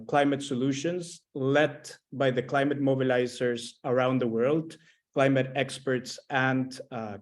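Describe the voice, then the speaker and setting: Man presenting, mid-pitched voice. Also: a little mellow. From Costa Rica. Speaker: guest panelist, co-founder of a climate platform. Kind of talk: explanatory webinar presentation.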